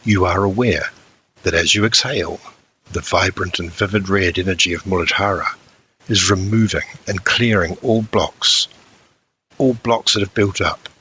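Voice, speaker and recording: A man narrating a scripted guided meditation as an instructor, in measured short phrases with frequent pauses. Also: low voice, bright, forceful tone, slight background noise, poor audio.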